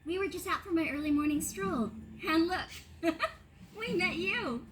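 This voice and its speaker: A vintage talking doll, syrupy and overly gracious. Her voice sits very high and sweet, with a quiet menace underneath. Faint background noise runs under this animated film scene. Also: measured pace. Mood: sweetly welcoming. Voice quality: sugary, overly polite, breathy laugh.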